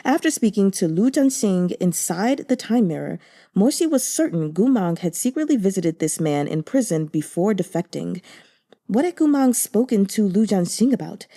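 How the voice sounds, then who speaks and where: Mellow-voiced woman narrating a novel. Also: medium pitch, lively intonation. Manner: measured, deliberate storytelling. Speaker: audiobook narrator. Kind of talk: scripted narration of a novel translation.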